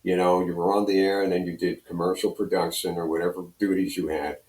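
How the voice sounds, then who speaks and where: A man's voice: low-pitched, level tone, fast talker, darkish tone. An older American man from Pennsylvania reminiscing casually as an interview guest, telling rambling anecdotes. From Pennsylvania, United States. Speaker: guest, retired radio personality. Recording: roomy echo, distant from mic.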